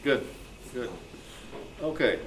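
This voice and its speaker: A man's voice: mid-range voice, slow pace, fairly bright tone, slight background noise, low-quality recording. A man informally moderating a club meeting, taking questions and passing them along to the panelists.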